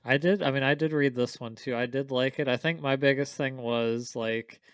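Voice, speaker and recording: A man's voice: medium pitch, fairly even intonation, normal speed, a bit bright, clear, resonant tone, no echo. Male podcast panelist, clean resonant voice, informal conversational delivery, dry room. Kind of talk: casual chat.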